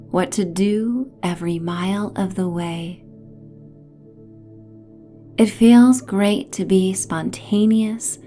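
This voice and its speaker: A woman narrating a guided sleep story in a soothing, gentle, hypnotic manner, with phrases trailing off into breathy near-whispers. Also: medium pitch. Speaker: narrator and host of sleep stories.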